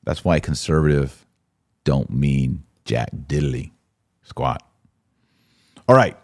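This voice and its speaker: A man's voice: a bass voice, slow, no room sound, clean studio sound. An American man hosting a live podcast, chatting casually and informally as he responds to listener comments. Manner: informal slangy talk. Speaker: podcast host.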